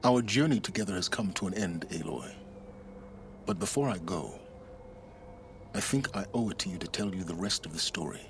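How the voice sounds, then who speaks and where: An actor playing an enigmatic, cynical older male scholar in a video game cutscene, eloquent and measured. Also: low voice, expressive, a little hiss or hum. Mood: confessional. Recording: loud music behind the voice.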